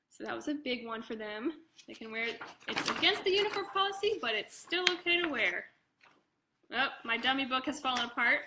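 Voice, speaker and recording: Bright, high-voiced woman reading a children's story. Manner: expressive, addresses young listeners directly; casual, informal commentary between passages. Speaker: children's author reading own book aloud. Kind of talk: animated picture-book read-aloud with chatty asides.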